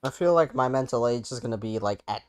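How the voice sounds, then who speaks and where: A man's voice: mid-range voice, expressive, fast talker, clean tone. A man in his twenties hosting a casual podcast, teasing his friends with many fillers. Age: 20s. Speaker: podcast host. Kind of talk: casual chat.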